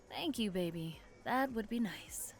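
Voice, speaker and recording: A woman acts as a poised, affectionate bookshop keeper in an audio drama. Her voice is fairly high and very expressive, with dry humour. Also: slow, full character voice. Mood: tired, affectionate.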